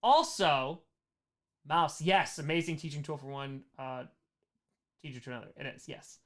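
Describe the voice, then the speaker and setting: An American man hosting a casual livestream Q&A, chatting in an animated way and repeating short phrases for emphasis. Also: on the high side, moderate pace. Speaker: livestream host answering chat questions.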